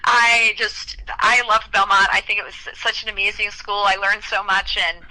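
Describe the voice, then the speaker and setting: A woman's voice: mid-range voice, flat delivery, normal speed, bright, forceful tone, poor audio. A young woman from Ohio, a country singer, chatting with enthusiasm as an interview guest and laughing easily. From Cleveland, Ohio. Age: young adult. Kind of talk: casual interview conversation.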